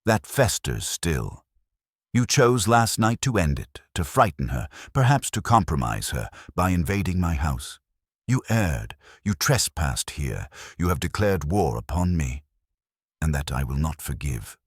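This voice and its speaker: A man voicing a stern, imperious detective who speaks formally and deliberately in an audiobook mystery. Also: extremely low pitch, sing-song, husky, no echo, studio quality, exaggerated voice. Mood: stern, indignant. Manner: formal, deliberate, pronouncing judgment. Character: stern, imperious male genius detective, coldly accusing.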